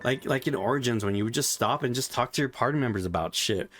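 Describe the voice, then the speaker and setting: A man's voice: mid-range voice, fast, a bit bright, faint music behind. A man giving a frustrated, casual spoken game review, swearing often as he rants. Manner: frustrated ranting, frequent swearing.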